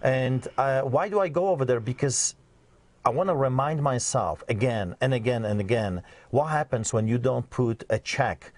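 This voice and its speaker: A pastor from Poland, speaking earnestly and emphatically as an interview guest. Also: male voice, medium pitch, moderate pace, no echo.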